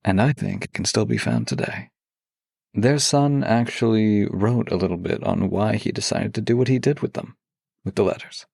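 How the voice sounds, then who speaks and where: A man with a low, gravelly voice speaks as a podcast co-host, recorded very dry and close. His delivery is measured and soft-spoken, with an intimate storytelling feel. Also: expressive, no room sound. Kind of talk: intimate podcast talk with a read-aloud letter.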